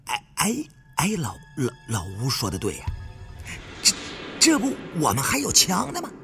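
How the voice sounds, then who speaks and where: A man's voice: on the high side, huge pitch swings, normal speed, pushed and bright, faint music behind, exaggerated voice. A man voicing a brash, foul-mouthed, stammering male tomb raider in an audiobook. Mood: nervous bravado. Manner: heavy stutter, repeating syllables. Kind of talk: audiobook character acting.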